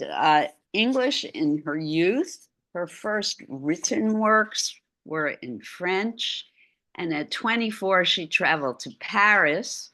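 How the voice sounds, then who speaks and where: Woman, middle-aged, low voice, slow pace, art lecture, video call, casual asides. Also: fairly bright tone.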